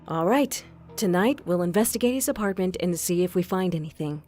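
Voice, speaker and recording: Woman acting a level-headed crime drama investigator, lowish voice, measured n methodical, bit of music behind. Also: animated, a little mellow. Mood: measured, serious.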